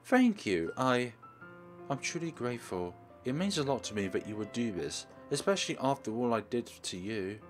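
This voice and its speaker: A man voicing a grateful, apologetic teenage schoolgirl in a visual novel, gushing and a little halting. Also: mid-range voice, very animated, soft background music, lightly acted. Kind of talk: visual novel character reading.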